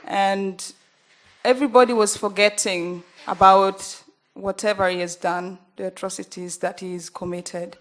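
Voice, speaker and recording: A young woman with a Ugandan accent, speaking earnestly at a panel, pausing often with fillers as she gathers her words. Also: fairly low pitch. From northern Uganda. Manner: earnest, halting, many fillers. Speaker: journalist panelist. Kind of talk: panel talk.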